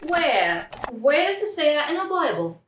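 A woman's voice: high-pitched, very animated, measured pace, a bit bright, recorded from a distance, exaggerated voice. A woman playing a weary, skeptical, drunken gravedigger sidekick, blunt and questioning, in a comic audio drama. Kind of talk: modern-language comic audio drama acting. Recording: echoey, noisy, off-mic.

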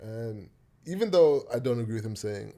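A man co-hosting a podcast, speaking calmly and making his points step by step. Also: mid-range voice. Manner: calm, argues in measured steps, many short agreements. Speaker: podcast co-host. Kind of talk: casual podcast chat.

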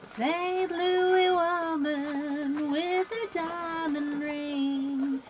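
A woman's voice: high-pitched, steady tone, drawn-out words, background noise. A woman playing a brash, teasing young woman with slangy wisecracks in an old-time radio drama. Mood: playful singing. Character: brash, wisecracking young woman who loves hot songs.

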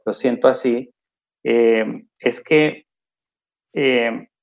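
A man's voice: mid-range voice, steady tone, extremely slow, creaky. A Colombian man, a podcast guest, answering casually and modestly with many fillers over dull, lo-fi call audio. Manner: self-deprecating, chatty, many fillers.

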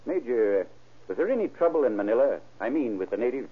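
An actor playing a probing, deliberate county sheriff in an old-time radio crime drama. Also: male voice, mid-range voice, animated, breathy edge. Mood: probing, courteous. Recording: narrow, tinny vintage radio recording.

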